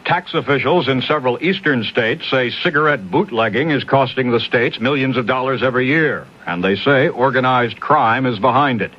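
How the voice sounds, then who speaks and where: A man's voice: medium pitch, moderate pace, few pauses, dull audio. A man working as a radio newscaster, reading a scripted 1970s news bulletin on an old AM radio recording.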